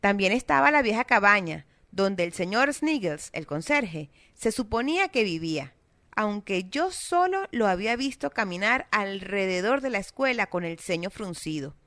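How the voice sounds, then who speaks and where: A woman with a South American Spanish accent, reading a children's story aloud in a steady, expressive way. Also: mid-range voice, moderate pace, no room sound. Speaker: narrator. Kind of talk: scripted storybook narration.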